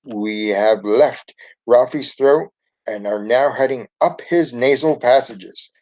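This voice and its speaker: A man's voice: mid-range voice, takes it slowly, darkish tone, natural voice. Male reader as zany woman teacher from a kids' storybook, upbeat announcer manner, slightly muffled sound. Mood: brisk, announcing. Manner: announcing, reassuring, instructive. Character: zany, upbeat woman schoolteacher leading adventures. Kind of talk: children's storybook read-aloud. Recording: dull lo-fi home recording.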